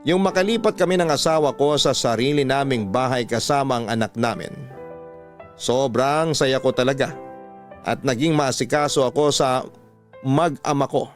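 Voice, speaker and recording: A man's voice: mid-range voice, natural voice. A man voicing a young mother who tells her own story in a radio drama, earnest and confiding. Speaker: letter narrator. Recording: dry studio voice over music.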